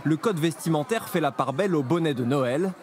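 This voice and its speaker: A man's voice: fairly high pitch, fairly quick, noisy background. A man reading scripted narration for a television news report. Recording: voice-over on music and crowd. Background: music and lively theatre crowd.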